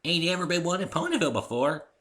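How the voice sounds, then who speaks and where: Man putting on a creaky high-pitched country granny pony. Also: big pitch swings, fast, pushed and bright. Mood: wondering. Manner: rustic drawl, folksy. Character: elderly country grandmother pony, creaky and folksy. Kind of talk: dramatic fan fiction reading.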